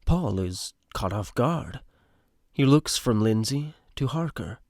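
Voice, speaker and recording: A man's voice: mid-range voice, lively intonation, slow pace, a little mellow, no room sound, clean studio sound. A man reading scripted stage directions as the narrator of an audio drama. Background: music.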